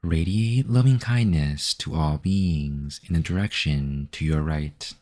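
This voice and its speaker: A man narrating a guided meditation as the guide, in a measured, instructional manner. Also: extremely low pitch, dark tone.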